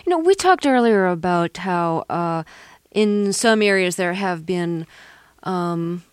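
A woman's voice: on the low side, lively intonation, slow pace, clear, resonant tone, no echo, studio quality. A woman interviewing a guest, asking curious, friendly questions in a conversational way.

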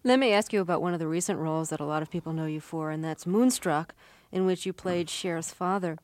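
A woman interviewer asking an actor long, measured questions about his roles. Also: on the low side, a little mellow, dry recording.

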